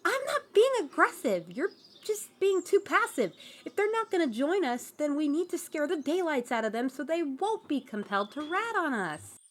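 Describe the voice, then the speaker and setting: A fiery young woman in a fantasy audio drama, acted out by a woman, with a high-pitched voice. She is pushy and sarcastic, quick to complain, and full of resentment. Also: very animated. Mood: aggressive. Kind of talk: fantasy audio roleplay acting.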